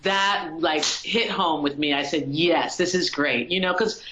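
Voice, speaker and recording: Bright-voiced woman on a muffled video call. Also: low-pitched, a little slow, roomy sound. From Colorado, USA. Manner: warm, reflective, with frequent ums. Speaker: musician guest. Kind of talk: casual interview conversation.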